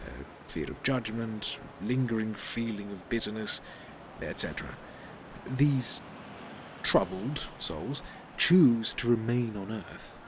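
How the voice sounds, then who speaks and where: An actor playing a polite, unflappable, eccentric reaper in an audio drama, speaking formally and with fussy precision. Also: male voice, lowish voice, expressive, background noise. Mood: composed, explanatory. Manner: formal, measured, fussily precise.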